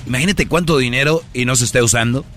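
Mexican male co-host, casual slangy talk, bright mid-pitched voice, soft music bed. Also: fast talker. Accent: Mexican Spanish. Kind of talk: casual slangy radio news chat.

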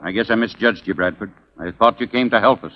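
A distrustful wagon-train doctor played by a male actor in a radio western. His lowish voice carries reproach, on a dull and clearly noisy 1940s recording. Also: fast talker, natural voice. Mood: reproachful.

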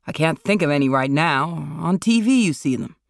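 A short-story reader acts out a heavy, gruff older male star. He is bossy and irritable, speaking in a tightly controlled, angry mumble. The recording is dry and close. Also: on the high side, animated, normal speed, no room sound. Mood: rambling, reasoning.

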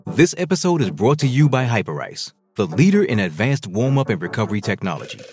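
A man giving a brisk, promotional scripted sponsor read. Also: medium pitch, big pitch swings, a little mellow. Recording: voice-over with music bed.

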